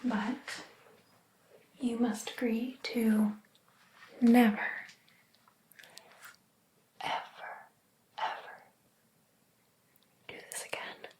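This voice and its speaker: A woman voicing a jealous, possessive hairdresser in an ASMR roleplay, whispering breathily in a slow, theatrical, wounded and haughty manner. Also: a little high, flat delivery, dark tone, rough recording. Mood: possessive, disdainful. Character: jealous, possessive hairdresser, obsessed with favorite client.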